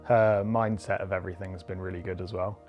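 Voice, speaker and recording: A man speaking as coach in a documentary interview, calm and appraising. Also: low-pitched, fast talker, faint music behind.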